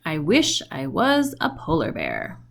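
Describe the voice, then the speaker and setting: A woman reading a children's book aloud in an expressive storytelling style with questioning intonation. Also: deep voice, unhurried, gravelly.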